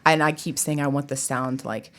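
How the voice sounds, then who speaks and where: A woman's voice: very deep voice, moderate pace, fairly bright tone. A woman talking casually and enthusiastically as an interview guest, in a chatty way. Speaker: guest, college theatre director.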